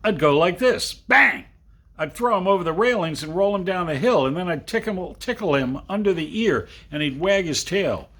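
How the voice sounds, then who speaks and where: Eager, boastful schoolboy acted by a man in a storybook, husky, leaping about in pitch. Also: lively intonation, moderate pace, a bit bright, heavily acted. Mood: excited boasting. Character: playful imaginative young boys out in the snow.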